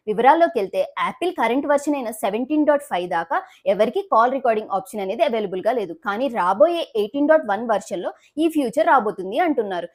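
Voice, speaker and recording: A woman news presenter reads scripted stories in a high voice. She moves very quickly from sentence to sentence. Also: a little mellow.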